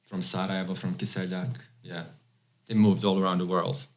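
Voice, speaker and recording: Deep-voiced man from Bosnia, halting. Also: little pitch movement. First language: Croatian. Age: 30s. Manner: halting, many fillers and restarts. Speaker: podcast guest. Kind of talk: casual interview chat.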